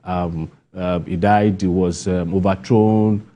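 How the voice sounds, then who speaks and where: A man's voice: low-pitched, steady tone, slow pace, mellow, lo-fi sound, a little hiss or hum. A man with a Nigerian accent giving spontaneous interview answers, emphatic and repeating key phrases for stress. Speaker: interview guest, playwright.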